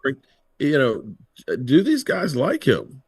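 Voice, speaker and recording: A man's voice: medium pitch, wildly swooping pitch, measured pace, darkish tone, husky, dry recording. A man hosting a casual livestream chat, talking in a laid-back, conversational way. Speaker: livestream host.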